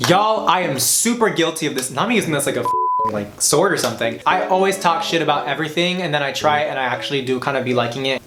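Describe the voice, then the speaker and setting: A man's voice: a little high, fairly bright tone, reverberant. A man chatting casually through a makeup tutorial, sassy and slangy, losing his voice. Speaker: makeup vlogger.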